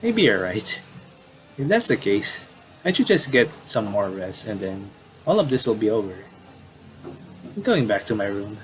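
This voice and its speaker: A man's voice: fairly low pitch, sing-song, unhurried, pauses often. A man voicing an anxious, panicky young woman from a horror visual novel. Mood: resigned. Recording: clearly noisy home recording.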